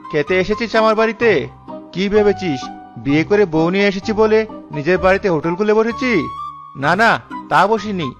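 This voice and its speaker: Casting: male actor as stingy young-married cartoon husband, high voice, blunt complaining delivery, muffled recording. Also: brisk, pauses often, natural voice. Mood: angry scolding. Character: stingy, grumbling newlywed husband, quick to scold. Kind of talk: cartoon dialogue acting. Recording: dull lo-fi sound with music behind.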